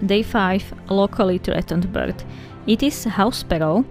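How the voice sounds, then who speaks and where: A woman's voice: mid-range voice, unhurried, vocal fry, a little mellow. This is a woman with a Slovak accent whose first language is Slovak, talking casually to her viewers as she walks through her art. Her voice sits in a middle register, and she chats in an easy, friendly way. Faint background music plays under her voice. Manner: friendly, chatty, addresses viewers as guys. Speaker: art vlogger. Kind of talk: casual sketchbook flip-through narration.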